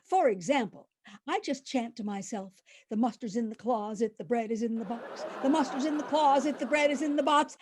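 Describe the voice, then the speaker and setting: High-voiced, dithery elderly landlady. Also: expressive, moderate pace, darkish tone, slight background noise. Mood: earnest, helpful. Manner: forgetful, rambling, earnest. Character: dithery, absent-minded elderly landlady, kindly and chatty. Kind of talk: old-time radio comedy acting.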